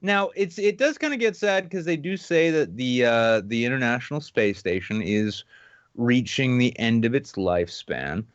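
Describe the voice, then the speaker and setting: A man hosting a podcast with casual, comedic news commentary in an irreverent, sarcastic, animated manner. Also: medium pitch, normal speed, no room sound, dull audio. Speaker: podcast host.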